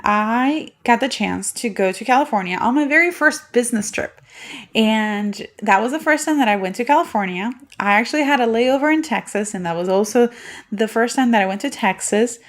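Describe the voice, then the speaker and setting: A woman in her thirties from Puerto Rico, hosting a solo podcast and telling a personal story in a chatty way. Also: on the high side. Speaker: podcast host.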